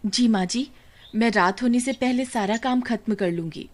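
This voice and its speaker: A woman's voice: a little high, normal speed, lightly acted. A woman playing a young, submissive village daughter-in-law in a cartoon story, speaking politely and pleadingly. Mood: dutiful. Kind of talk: Hindi animated horror story acting.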